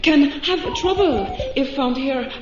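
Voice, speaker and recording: An actress playing a timid, anxious young foreign woman in a radio drama, speaking haltingly in broken grammar. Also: high-pitched, animated, noisy background. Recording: dull, echoey, distant radio drama recording.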